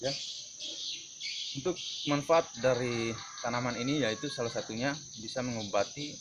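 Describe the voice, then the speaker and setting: A man presenting an informal demonstration vlog, explaining in a halting way. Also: medium pitch, fairly even intonation. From North Buton, Southeast Sulawesi, Indonesia. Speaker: herbal remedy video presenter. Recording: noisy outdoor audio with insects and birds.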